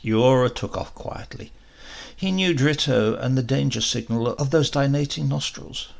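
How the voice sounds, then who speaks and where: A man narrating a short story in a measured, expressive way, with deliberate pauses for drama. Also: medium pitch, creaky. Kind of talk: dramatic scripted literary narration.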